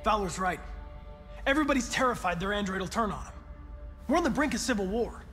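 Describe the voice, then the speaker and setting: A man's voice: sits high, expressive, fast talker, bright, forceful tone. An American man playing an earnest, troubled young android detective in a video game, speaking precisely. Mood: resigned. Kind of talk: cinematic video game acting. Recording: music behind the dialogue.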